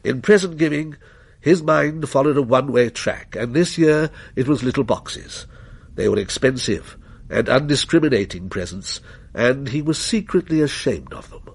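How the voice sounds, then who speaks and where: A male narrator tells a literary tale in a measured way. His pitch moves widely and expressively, with some gravel and a slightly rough edge to the voice. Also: animated. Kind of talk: scripted dramatic story narration.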